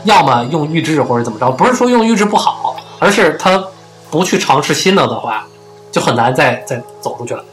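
A man with a slightly high voice chats casually as a podcast co-host. He interjects with very varied intonation and repeats phrases emphatically, over faint music. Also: animated, a little fast. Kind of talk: casual chat.

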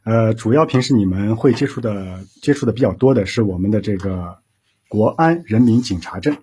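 A man answering as a police officer guest, explaining things informally in a measured way. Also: lowish voice, expressive, warm tone, breathy edge.

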